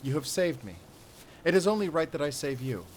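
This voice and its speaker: A man's voice: on the high side, animated, fairly quick, a little hiss or hum, natural voice. A man voicing a noble, gracious princess in an audio drama tale, with a regal, earnest, declamatory delivery. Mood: earnest, protective.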